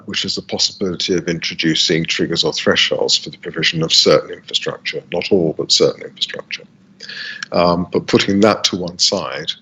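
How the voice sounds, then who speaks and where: A man with a British accent giving a formal statement over a video call at a planning hearing, halting with many fillers and restarts, his throat dry and croaky. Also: low-pitched, bright, forceful tone, a little hiss or hum, low-quality recording. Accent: British English. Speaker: council planning witness. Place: air-conditioned room.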